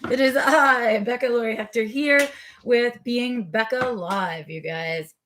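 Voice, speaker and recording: A woman hosting her own live vlog in a casual, chatty way, her voice a little raspy and tired, with frequent ums as she talks straight to her viewers. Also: a little high, slow, fairly bright tone, a little hiss or hum. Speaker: vlog host. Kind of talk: casual unscripted live chat.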